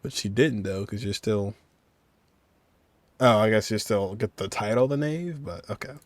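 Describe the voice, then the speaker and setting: A man's voice: on the low side, normal speed. A man streaming a game, giving informal commentary and thinking aloud in a casual way.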